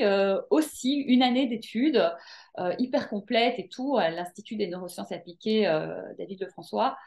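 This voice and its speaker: Lively woman chatting as guest. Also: mid-range voice. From Belgium. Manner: lively, emphatic, frequent hesitations and filler words. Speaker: podcast guest, coach. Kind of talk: casual interview chat.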